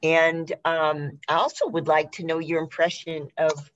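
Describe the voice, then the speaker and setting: A woman's voice: deep voice, little pitch movement, a little slow, dull audio, a little hiss or hum. A woman hosting a conversational interview in a polite, effusive way, heard over a video call. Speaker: host interviewing a theater cast.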